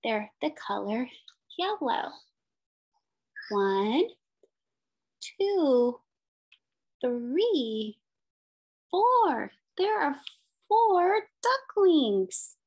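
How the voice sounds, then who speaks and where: A woman's voice: sits high, big pitch swings, drawn-out words, long pauses, highs cut off. A woman in her thirties reading a picture book aloud as a teacher, in simple sing-song phrases with questions for young children. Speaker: special education teacher.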